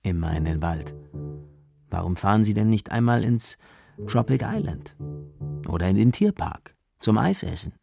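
A man narrating a children's radio play as a scripted story, with a gravelly voice. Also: fairly low pitch, very animated, normal speed, pauses often. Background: music, plucked guitar.

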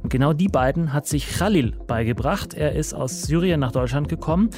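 A man's voice: fairly high pitch, fairly quick. Male radio host, easygoing chatty questions, faint music under him. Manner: relaxed, conversational questioning. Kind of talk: radio interview.

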